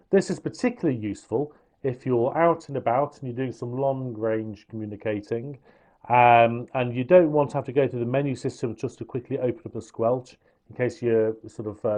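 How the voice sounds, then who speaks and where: A man's voice: medium pitch, a bit bright. A man with a mid-range voice gives an informal product review. He talks the listener through a hands-on demonstration, explaining things one step at a time.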